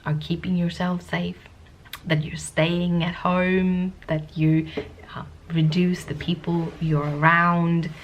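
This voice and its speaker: A woman vlogger with a sniffly cold, chatting to the camera in a soft-spoken, rambling, hesitant way. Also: lowish voice, little pitch movement, slow pace, slight background noise. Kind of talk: casual talking-to-camera vlog.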